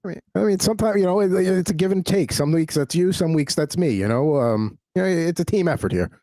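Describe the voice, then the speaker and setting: A man's voice: on the high side, animated, a little fast, dark tone, no echo. A man in his forties, a show sidekick, chatting in casual, joking banter. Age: 40s. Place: at home.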